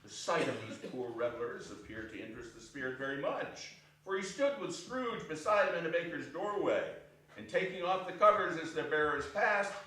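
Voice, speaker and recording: A man narrating a classic story as a theatrical, expressive, declamatory dramatic reading. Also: on the high side, moderate pace. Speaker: stage reader, narrator. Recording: echoey hall from far off, faint noise.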